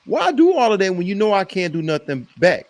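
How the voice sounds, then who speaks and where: A man's voice: high voice, big pitch swings, fairly quick. An American man hosting a sports debate, making his case emphatically and repeating phrases for effect. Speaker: host of a sports debate show.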